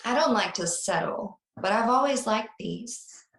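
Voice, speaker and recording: A woman's voice: fairly high pitch, animated, unhurried, fairly bright tone. A woman playing a flirtatious, evasive mother in a screenplay table read over a video call. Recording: roomy, echoing video call audio.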